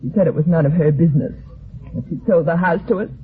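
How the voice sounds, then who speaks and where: A woman playing an agitated grieving widow, halting and pleading, in an old-time radio detective drama. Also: on the low side, slightly rough. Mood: distraught, pleading. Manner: halting, breathless protests and repeated denials. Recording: tinny band-limited vintage radio broadcast.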